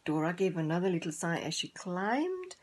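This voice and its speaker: A woman narrating a children's story in a deliberate, gently dramatic manner. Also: lowish voice, sing-song. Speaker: storybook reader.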